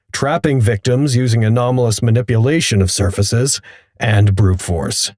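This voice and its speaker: A man playing a detached researcher, reading a clinical report in a formal, measured way for an audio drama. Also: mid-range voice, a little mellow, no echo, clean studio sound, natural voice. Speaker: narrator of a fiction podcast. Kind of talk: scripted clinical report narration.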